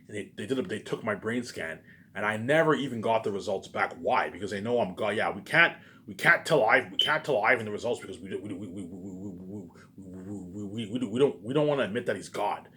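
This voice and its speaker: A man's voice: lowish voice, lively intonation, quick pace, fairly bright tone. A man delivering an unscripted, agitated rant, repetitive and profane, often stumbling over and repeating his words. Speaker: vlogger. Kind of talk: unscripted rambling rant to camera.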